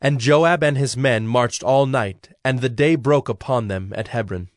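A man narrating a dramatized audio Bible in a measured, solemn storytelling style. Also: medium pitch, dry recording, studio quality. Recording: faint music behind narration.

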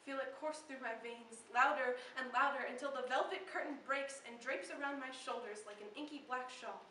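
High-voiced young woman performing slam poetry, echoey. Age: teenager or young adult. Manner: dramatic, deliberate phrasing swelling from hushed to intense. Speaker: slam poet. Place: live poetry slam in a room. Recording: echoey room, distant mic, faint noise.

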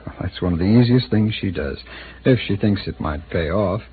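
A man's voice: low voice, normal speed, close to an everyday voice. An actor in his thirties playing an easygoing, slightly evasive male city attorney in an old radio drama. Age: 30s. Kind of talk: 1950s radio drama acting. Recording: tinny, hissy old radio broadcast.

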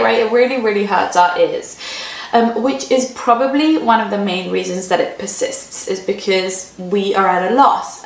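Roomy-sounding woman coaching parents. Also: fairly high pitch. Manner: conversational, often adds "right?" tag questions. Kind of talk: advice talk answering a parent's question. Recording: roomy echo.

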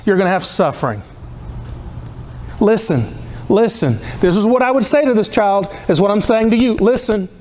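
A man in his fifties preaching a sermon in a church hall, impassioned and pleading, with rhythmic repetition. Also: sits high. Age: 50s. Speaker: pastor. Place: church hall in front of a cross.

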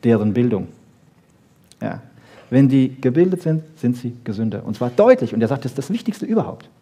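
A man lecturing freely on popular science to an audience, emphatic, with rhetorical questions and frequent checking tags, in a slightly husky voice. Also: mid-range voice, sing-song, fairly quick, warm tone.

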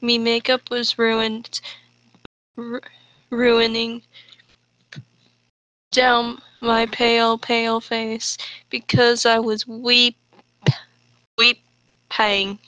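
High voiced woman, reads super slow and stumbly, casual, dry sound. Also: little pitch movement, sharp tone, no room sound, dull audio. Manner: halting, stumbling reading. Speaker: co-host of a group fanfiction reading. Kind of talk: casual comedic read-aloud and chat.

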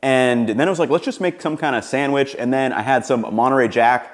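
A young man in his twenties, upbeat and relaxed, narrating his home cooking and chatting to the camera in his kitchen. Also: medium pitch, brisk. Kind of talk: cooking vlog voice-over and casual to-camera chat.

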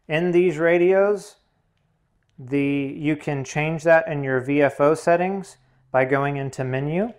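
Man giving step-by-step hands-on instructions. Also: on the high side. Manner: plain, step-by-step explaining. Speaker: instructor, demonstrator. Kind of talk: hands-on tutorial narration.

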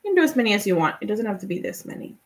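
A young woman from Guatemala whose first language is Spanish, chatting relaxed and giving step-by-step tips in a live painting tutorial. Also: mid-range voice, racing. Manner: relaxed, chatty, laughs often, answers viewers' comments. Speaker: painting instructor.

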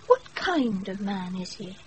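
A woman's voice: medium pitch, big pitch swings. A British actress playing a proud, wry young noblewoman in a Shakespeare radio play, speaking imperiously, recorded off a wireless with some noise. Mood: cool, curious. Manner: imperious, dry, measured.

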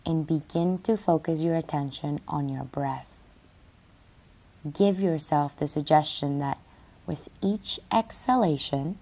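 A woman reads a guided relaxation meditation calmly and soothingly, with long pauses and soft, breathy phrase endings. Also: deep voice. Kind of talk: guided relaxation meditation, scripted read-aloud. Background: music under the voice.

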